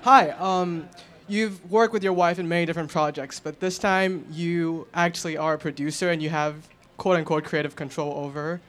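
A man's voice: a little high, fairly even intonation, moderate pace, a bit bright. A man from the audience asking a polite, straightforward question.

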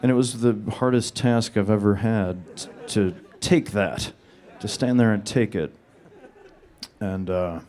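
A man answering audience questions at a panel in a flat, deadpan monotone. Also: fairly low pitch, measured pace. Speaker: panel guest.

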